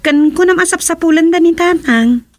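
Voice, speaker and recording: A woman's voice: extremely high pitch, moderate pace, a little mellow, soft background music. An actress playing an inquisitive village woman in an Ilocano radio comedy drama, with an Ilocano accent.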